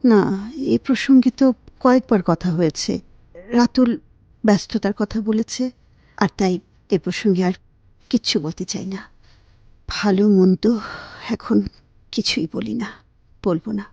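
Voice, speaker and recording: A woman's voice: mid-range voice, measured pace, long pauses, warm tone, no echo, lo-fi sound. A woman acting a lonely, ailing wife in an audio drama. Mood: forlorn, resigned. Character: lonely, ailing, neglected wife, quietly despairing. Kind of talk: Bengali radio drama acting with monologue. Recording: guitar music behind the voice.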